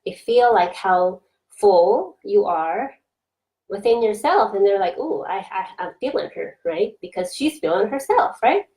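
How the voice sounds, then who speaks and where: A woman's voice: mid-range voice, lively intonation, measured pace, warm tone, rough recording. A woman coach talking casually and reflectively on a live video stream, with frequent fillers and restarts. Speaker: coach for introverted business owners. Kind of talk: casual Facebook Live talk.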